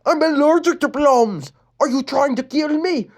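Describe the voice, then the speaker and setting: A man's voice: extremely high pitch, moderate pace, pitched above the performer's own voice. A man reading a fan story, voicing a shallow teenage boy who keeps blurting a nonsense word. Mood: careless. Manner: odd verbal tic, careless and blunt.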